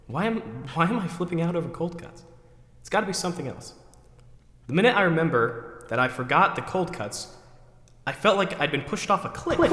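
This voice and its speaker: A man's voice: fairly high pitch, a bit bright. A man acting out the theatrical internal monologue of an overwrought, neurotic man who questions himself, recorded in an echoey hall. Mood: reasoning, deflated, then puzzled, fretful. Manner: ranting, then talking himself down. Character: overwrought, neurotic man agonizing over forgotten groceries, self-questioning. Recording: echoey hall with long reverb.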